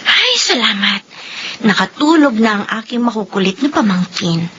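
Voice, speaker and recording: Actress as a cheeky, dramatic young aunt, animated voice, radio drama. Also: female voice, mid-range voice, moderate pace, over music, natural voice. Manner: cheeky, sarcastic. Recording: dull lo-fi radio recording.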